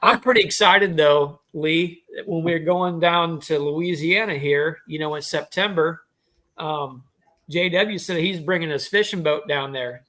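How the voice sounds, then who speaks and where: A man's voice: a little high, a little slow, a bit bright, poor audio. An American man in his forties chatting casually and jokingly as a panelist on a group live chat. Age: 40s.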